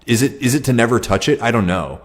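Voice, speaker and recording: A young man hosting a casual livestream Q&A, talking reflectively and haltingly with many ums and restarts. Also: mid-range voice, lively intonation. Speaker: livestream host. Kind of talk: casual livestream Q&A, reading comments.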